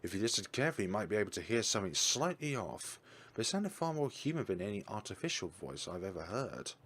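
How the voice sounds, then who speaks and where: A man reading a visual novel aloud for his channel, in a steady voice with some slips and restarts. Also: on the low side, fast talker, slightly rough. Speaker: game reader.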